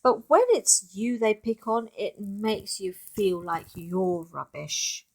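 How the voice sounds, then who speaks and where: A woman reading a children's picture book aloud in expressive narration, with asides to young listeners about the pictures. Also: mid-range voice, takes it slowly. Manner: addresses young listeners, points out pictures, asks questions.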